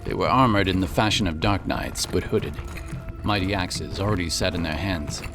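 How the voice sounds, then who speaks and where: A man's voice: low-pitched, normal speed, music in the background. A man reading scripted narration for a fantasy audio drama.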